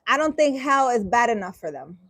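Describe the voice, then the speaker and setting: Sarcastic, exasperated woman with a high voice. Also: big pitch swings, fairly bright tone, no room sound, clean studio sound. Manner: halting, restarting sentences. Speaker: spiritual vlogger. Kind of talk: reading a dream interpretation aloud and commenting.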